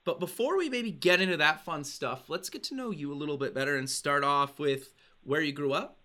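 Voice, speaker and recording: A man in his thirties hosting an interview show, reading a promo and then asking casual, halting questions. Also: a little high, bright, forceful tone. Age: 30s. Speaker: host. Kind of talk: podcast interview.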